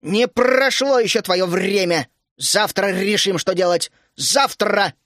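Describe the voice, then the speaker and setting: A man's voice: sits high, lively intonation, moderate pace. Man doing a fiery scolding gnome housewife. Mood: furious. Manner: shouting, scolding, indignant. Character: stern bossy female gnome housewife, fiery.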